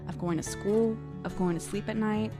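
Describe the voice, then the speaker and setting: A woman's voice: medium pitch, fairly even intonation, normal speed, music in the background, close to an everyday voice. A woman reading a novel aloud as a fearful, grieving teenage girl, in an earnest, confessional manner.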